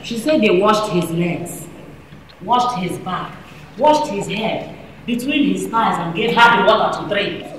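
An actress plays a grave widow with a Nigerian accent on stage. She speaks slowly and deliberately at a middling pitch, her voice echoing through a noisy hall. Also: lively intonation, natural voice. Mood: grave, bitter. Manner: slow, deliberate. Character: grave Nigerian widow recounting cruel rites. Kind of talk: theatrical stage monologue. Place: large theatre stage. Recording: very echoey, noisy theatre hall.

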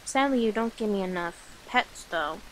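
A woman playing an affectionate, sleepy young cat-girl familiar in a soft, coaxing, playful ASMR roleplay. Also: mid-range voice, animated, moderate pace, a little hiss or hum, natural voice, low-quality recording. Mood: affectionate, tender.

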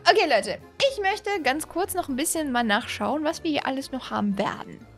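High-voiced excitable young woman reacting. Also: normal speed, soft background music. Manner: excitable, exclaiming, repeats herself. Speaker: gaming video host. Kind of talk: casual excited reaction commentary. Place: small home streaming room, indoors. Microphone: desk condenser microphone, close.

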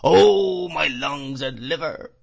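Mad old drunk shopkeeper from a storybook, high whiny singsong, rattly throat, fierce. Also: male voice, gravelly, heavily acted. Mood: agitated whining. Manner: monotonous sing-song whine, rising then falling, drawn-out exclamations.

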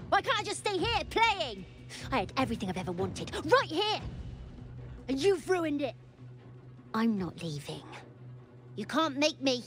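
A spoiled young spirit boy from a fantasy game, defiant and sulky. The high voice swings around in childish taunts over prominent music. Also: big pitch swings, a little slow, long pauses, a bit bright, exaggerated voice. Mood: wounded anger. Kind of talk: dramatic game cutscene acting.

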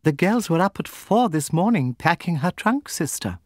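A nervous, fluttery spinster, acted by a male reader in an audiobook novel. Her voice is pitched up high and swoops about, timid and eager to please. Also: very animated, moderate pace, no room sound, clean studio sound. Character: timid, fluttery, anxious spinster younger sister. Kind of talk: audiobook character acting.

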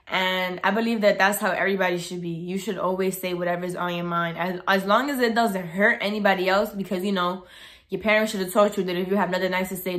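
A young woman in her twenties speaking straight to a camera in her bedroom. She talks casually and bluntly, gesturing with her hands, at a middle pitch. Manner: blunt, outspoken, animated with hands. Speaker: vlogger. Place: bedroom, indoors.

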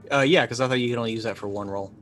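A man in his forties playing a tabletop roleplaying game, casually narrating his character's actions and thinking aloud haltingly. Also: medium pitch, a bit bright, slight background noise. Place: indoor room, online video call.

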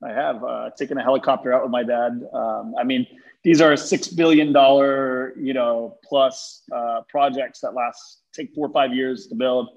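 A man in his forties, raised speaking Turkish and English, talks in a loose, friendly way full of small fillers and restarts. His pitch is ordinary for a man. The sound comes through a dull webcam link from his office. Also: moderate pace. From born in London, raised in Turkey and Texas. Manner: relaxed, chatty, many fillers and restarts. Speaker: guest, fund manager. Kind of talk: casual video-call interview. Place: office with high windows, indoors. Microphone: webcam on a video call. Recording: dull lo-fi video call audio.

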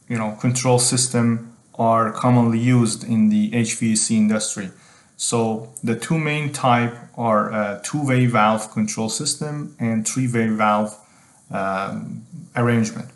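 A middle-aged man gives a technical tutorial in a mid-pitched voice. His delivery is halting, with phrases often repeated as he explains. The recording is roomy and echoing, as if made at a computer in a small room. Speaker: tutorial instructor.

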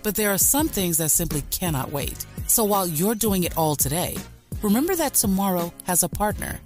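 Low, lilting woman ad announcer over soft music. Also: big pitch swings, moderate pace. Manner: smooth, reassuring delivery. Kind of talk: scripted ad read. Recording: studio ad with faint music.

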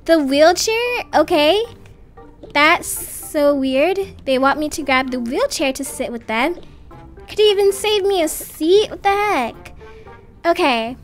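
A woman's voice: sits high, expressive, takes it slowly, soft background music. A young woman voicing a shy, wary nerdy schoolgirl in a game story, hesitant and slangy. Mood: baffled, wary. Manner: hesitant, baffled teen slang.

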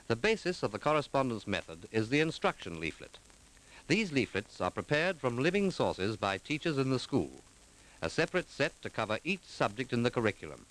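Man, middle pitch, animated intonation, formal and articulate, 1940s documentary narration, old film soundtrack. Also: low-quality recording.

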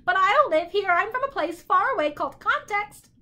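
A woman's voice: very high voice, expressive, fairly quick, bright, forceful tone, voice pushed up, exaggerated voice. A woman voicing a malicious, haughty, unkempt male bird in a storybook, shrieking and cackling as he talks. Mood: disdainful.

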